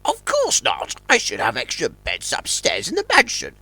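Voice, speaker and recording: Woman as husky, swooping kindly old professor. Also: on the low side, huge pitch swings, moderate pace, bright, forceful tone, no echo, exaggerated voice. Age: older. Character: kindly old male professor, a grandfather, gracious host. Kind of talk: audio drama acting.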